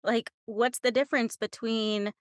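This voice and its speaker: A woman's voice: on the high side, fairly even intonation, a little slow, no room sound, studio quality. Youthful-sounding woman, casual and giggly. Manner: giggly, informal. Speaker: audiobook narrator, podcast guest. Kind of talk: casual conversational interview.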